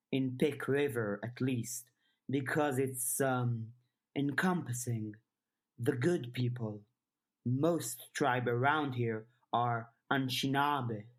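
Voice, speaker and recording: A woman's voice: very deep voice, full character voice. A woman voicing a guarded, aloof and weary woman in an audiobook, terse and reserved. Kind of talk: audiobook character dialogue.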